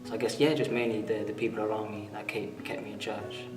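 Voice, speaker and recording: A man in his twenties giving an earnest, measured church testimony with frequent pauses. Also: lowish voice, little pitch movement. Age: 20s. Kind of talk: testimony interview. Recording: echoey hall, mic far off, music behind.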